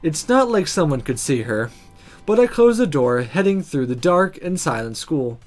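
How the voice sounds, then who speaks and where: A woman hosting a gaming video, reading a visual novel aloud with expressive narration and casual commentary. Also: deep voice, moderate pace. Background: faint music.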